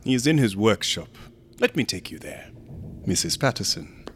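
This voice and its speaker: An actor playing a courteous, guarded museum assistant in a radio horror drama, formal and deliberate in manner. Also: male voice, fairly low pitch, sing-song, fairly bright tone, slight background noise. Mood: courteous, cautioning. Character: courteous, guarded male assistant to a sinister sculptor.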